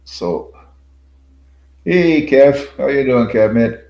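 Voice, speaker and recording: A man's voice: medium pitch, expressive, takes it slowly, gravelly, a little mellow. An older man hosting a casual livestream, rambling, repeating himself, swearing casually and chuckling to his viewers. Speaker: livestream host. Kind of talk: casual solo livestream chat.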